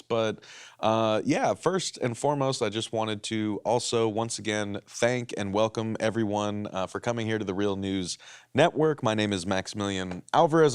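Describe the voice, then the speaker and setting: A man's voice: on the low side, clear, resonant tone. A man moderating a live panel discussion in front of an audience, enthusiastic and a bit rambling. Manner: enthusiastic, rambling, hypes the crowd. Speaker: panel moderator and editor-in-chief. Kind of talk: live panel event hosting. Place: studio event space with audience.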